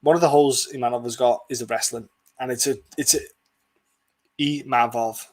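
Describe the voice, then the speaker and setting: A man's voice: medium pitch, steady tone, moderate pace. A man hosting a casual sports prediction podcast, talking bluntly in a rambling way and swearing freely. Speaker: podcast host.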